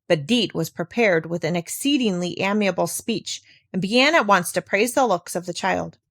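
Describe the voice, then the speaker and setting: A woman's voice: lowish voice, normal speed, fairly bright tone, no room sound, studio quality. A woman narrating a classic children's story, reading aloud from a script. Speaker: audiobook narrator.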